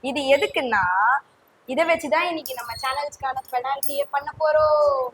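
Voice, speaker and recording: A woman's voice: high-pitched, steady tone, moderate pace, fairly bright tone, slight background noise, rough recording. A woman hosting a challenge show in casual, playful chat with a teasing, informal manner.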